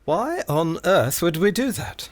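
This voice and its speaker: Male actor as cautious, long-suffering 1920s British wireless engineer: stiff, dour, slightly high voice with animated intonation, period radio drama. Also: a little slow, dry recording. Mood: grumpy, dry. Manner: stiff, grumbling, proper. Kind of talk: period radio play acting.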